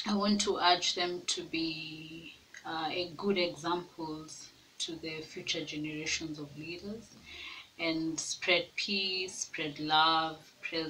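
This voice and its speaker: Slow, halting young woman from Kenya. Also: low-pitched, bright, forceful tone. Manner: slow, hesitant with fillers. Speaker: peace message speaker. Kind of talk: recorded video message. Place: room with bookshelves, indoors. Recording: distant laptop mic, faint noise.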